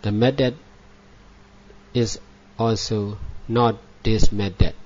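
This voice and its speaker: A man who is a native Burmese speaker gives guided meditation as a Buddhist teacher, in measured, repetitive short phrases with frequent pauses. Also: on the low side, steady tone, darkish tone. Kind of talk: guided meditation, spoken dhamma instruction. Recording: dull lo-fi recording.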